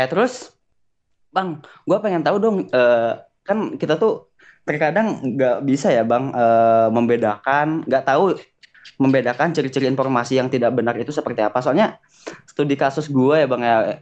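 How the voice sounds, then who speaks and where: A young man hosting a podcast, asking halting questions full of fillers. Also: medium pitch, a little mellow. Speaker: podcast host. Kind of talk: casual podcast interview. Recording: dull lo-fi podcast recording.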